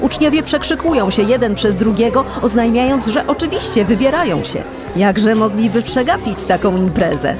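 A woman's voice: fairly high pitch, animated, moderate pace, few pauses, music in the background, lightly acted. A woman narrating a children's radio play in a scripted storytelling style. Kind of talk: scripted audio drama narration.

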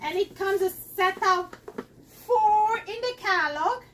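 Middle-aged woman, very high voice, excitable home-kitchen demo host, slightly off-mic. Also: slow pace, recorded from a distance, a little hiss or hum. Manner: chatty and excitable, talks straight to viewers. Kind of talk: live cooking demonstration. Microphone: camera across the counter.

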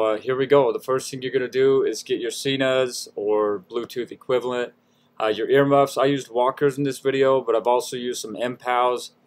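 A man giving a casual, folksy how-to voice-over in an informal, self-deprecating way. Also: medium pitch. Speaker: DIY tutorial host.

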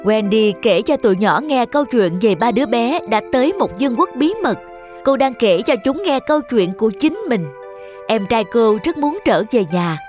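A woman's voice: fairly high pitch, expressive, normal speed, darkish tone, soft background music. A woman storyteller reading scripted fairy tale narration for children.

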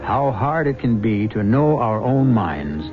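A man's voice: medium pitch, sing-song, measured pace. A man playing a grave narrator and host of a scripted radio mystery drama. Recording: tinny old radio recording. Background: music.

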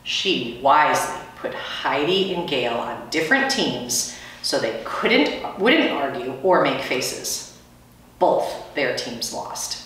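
A woman's voice: a bass voice, very animated, slow pace, fairly bright tone. A middle-aged woman reading a children's book aloud like a schoolteacher. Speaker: teacher, guest reader. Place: small indoor school room. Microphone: camera a few feet away. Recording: very echoey room, distant microphone.

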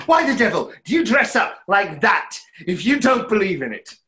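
A man's voice: sits high, big pitch swings, normal speed, pushed and bright, full character voice. A young man playing a tormented, lovestruck conjurer in a stage play reading, with theatrical, emphatic delivery. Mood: fierce, challenging. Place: small room at home, video call.

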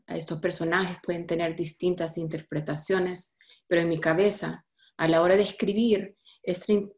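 A woman's voice: on the low side, a little mellow. Hesitant woman presenting on a video call. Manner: hesitant, with fillers and restarts. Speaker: presenter of a literature session. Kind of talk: casual online talk.

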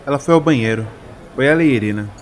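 A man's voice: mid-range voice, racing, slight background noise, close to an everyday voice. A man with a Brazilian accent voicing a matter-of-fact patrol officer in an audio drama. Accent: Brazilian Portuguese.

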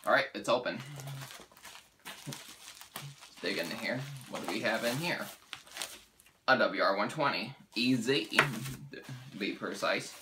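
A man's voice: lowish voice, noisy background. A young American man casually hosting a how-to tutorial, talking informally as he demonstrates. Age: young adult. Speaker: weather radio hobbyist, video host.

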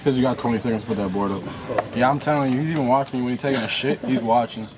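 A man's voice: medium pitch, very fast. A man hosting a public prank, bantering casually while playing at relaying official orders. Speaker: prankster host of a hidden-camera video. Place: public place among passersby. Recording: loud traffic and background noise.

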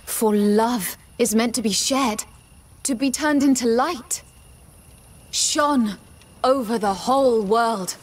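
An actress playing a fanatical noblewoman in a video game, speaking in a solemn, reverent, measured way. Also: fairly high pitch. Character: serene, fanatical noblewoman, zealous would-be mother. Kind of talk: video game cutscene acting. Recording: loud music behind the voice.

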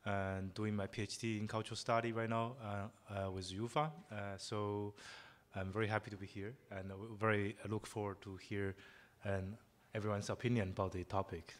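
A man who grew up in China introduces himself as an artist and researcher. His voice is low and flat, and he speaks haltingly.

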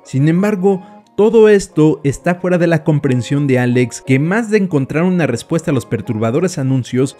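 A man's voice: mid-range voice, mellow. A man giving scripted, suspenseful storytelling narration as he explains a horror tale.

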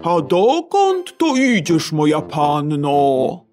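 A woman plays a kindly old man, gentle and unhurried, speaking very slowly with a lively, rising and falling voice. Music plays clearly behind this storybook reading. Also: sits low, exaggerated voice. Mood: gentle advice.

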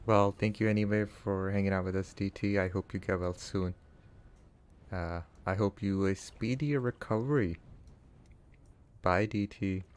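A man from Canada, English not his first language, talks in a loose, chatty way to his stream audience. His voice sits a little low, and he reads out chat, muses aloud and laughs easily. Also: a little slow. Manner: relaxed, chatty, answers chat and laughs often. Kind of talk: casual live-stream chat while gaming.

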